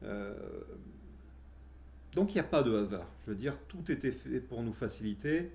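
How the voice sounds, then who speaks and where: A man's voice: mid-range voice, expressive, moderate pace, a little mellow. A middle-aged man telling his personal story in a casual, hesitant way, with many fillers and restarts. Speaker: vlogger telling his spiritual journey.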